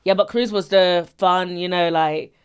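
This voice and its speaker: A woman's voice: fairly low pitch, steady tone, measured pace, clear, resonant tone. A woman co-hosting a podcast in casual, opinionated conversation. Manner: informal, opinionated. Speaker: podcast co-host. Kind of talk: casual chat.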